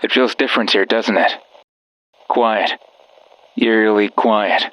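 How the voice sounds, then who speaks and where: A man's voice: on the low side, a little slow, fairly bright tone, rough recording. A man voicing a sinister, coaxing friend who guides the listener in a horror visual novel, with an ominous, darkly sardonic manner. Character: sinister, coaxing male voice of a friend over a radio.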